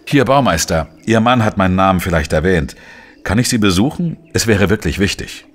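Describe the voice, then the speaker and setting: Deep-voiced, sardonic investigative journalist. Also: male voice, lively intonation, a little fast, a little hiss or hum. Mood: businesslike. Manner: sardonic, laconic. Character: dry, defiant male investigative journalist, narrating his case. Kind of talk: radio play dialogue and first-person narration.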